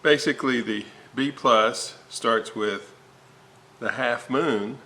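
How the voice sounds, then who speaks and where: A man giving an informal repair walkthrough at a workbench, halting and thinking aloud as he works. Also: medium pitch, bright, forceful tone. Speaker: host of a radio restoration video series. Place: indoor workbench, hands working on components. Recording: noticeable background noise.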